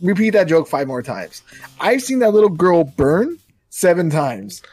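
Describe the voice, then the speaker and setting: A man co-hosting a podcast in casual chat, animated, joking and rambling. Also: a little high, measured pace, no echo, soft background music. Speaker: podcast co-host.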